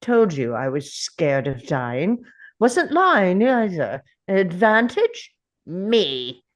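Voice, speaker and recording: A woman playing an ancient, defiant, sardonic male time traveller in a dramatic script table read, speaking theatrically with deliberate pauses. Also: fairly low pitch, big pitch swings, full character voice.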